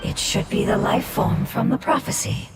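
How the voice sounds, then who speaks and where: A woman voicing a grave, cautious adviser in a video game, speaking in a measured, formal way. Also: medium pitch, gravelly, reverberant, very noisy, close to an everyday voice. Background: game music.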